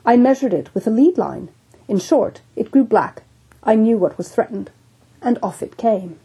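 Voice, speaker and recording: A woman's voice: a little high, lively intonation, moderate pace, warm tone, husky, heavily acted, low-quality recording. A woman voicing a sober, formal English ship's surgeon in a storybook reading. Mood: measured. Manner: formal, unruffled, precise.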